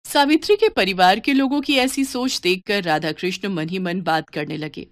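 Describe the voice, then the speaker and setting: Lady narrator telling a scripted devotional tale, mid pitch, no room echo. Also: normal speed, clean studio sound. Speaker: storyteller.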